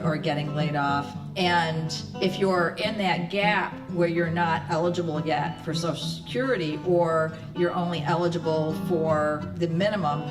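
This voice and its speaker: An American woman of sixty speaks in a low voice, recorded at a distance in an echoey room. Plucked guitar plays behind her as she gives a personal question. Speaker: member of the public, marketing director. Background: plucked guitar music.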